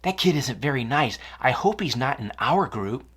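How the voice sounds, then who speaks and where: A woman's voice: very deep voice, animated, normal speed, slightly rough, full character voice. A woman voicing an anxious, discouraged young schoolboy in a children's story, hesitant and unsure of himself. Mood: worried. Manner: glum, hesitant.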